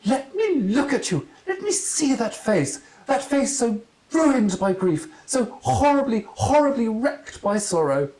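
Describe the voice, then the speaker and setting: A man voicing a loud, gushing, eccentric aunt from a storybook, melodramatic and drawn-out. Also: very high-pitched, sing-song, voice pushed up, exaggerated voice, poor audio. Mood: gushing, commanding.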